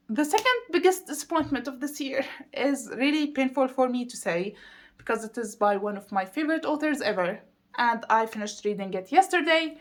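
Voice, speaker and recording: High-pitched woman chatting emphatically about things. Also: a bit bright. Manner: emphatic, repeats words for stress. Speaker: book reviewer vlogger. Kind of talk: casual chatty video monologue to viewers.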